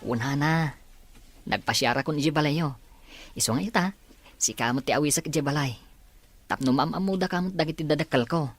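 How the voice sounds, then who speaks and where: A woman playing a kind, encouraging young male student in a radio drama, speaking gently and reassuringly. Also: sits low, a little fast, long pauses, dry recording. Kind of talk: Ilocano radio drama acting. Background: faint background music.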